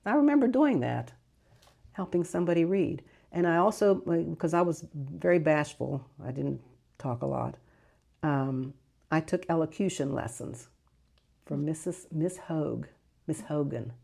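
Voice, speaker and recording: An older woman who grew up speaking Greek at home, fondly recalling her childhood in a rambling interview with soft laughs and self-corrections. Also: low voice, expressive, measured pace, creaky. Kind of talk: casual reminiscing interview.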